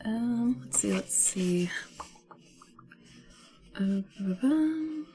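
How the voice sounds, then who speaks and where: A woman's voice: on the high side, expressive, drawn-out words, mellow, soft background music. A woman streamer reading a novel aloud as storybook narration, with casual asides.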